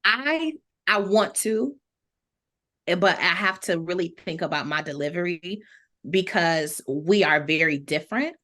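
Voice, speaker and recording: Female podcast panelist, slightly low voice, informal and slangy, feeling her way through thoughts. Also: animated, measured pace, pushed and bright. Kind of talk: casual chat.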